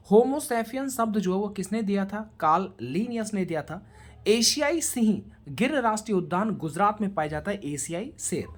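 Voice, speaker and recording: Female exam coach, medium pitch, instructional question-and-answer delivery aimed straight at students. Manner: instructional, addresses students directly, mixes in English terms. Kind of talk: rapid question-and-answer revision lecture.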